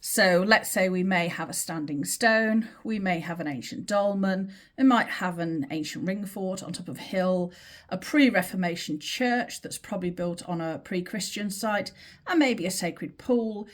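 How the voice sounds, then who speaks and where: A woman teaching in an informal, explanatory way, with measured, emphatic phrasing and pauses as she talks through a drawing. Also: lowish voice. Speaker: teacher of earth energy dowsing.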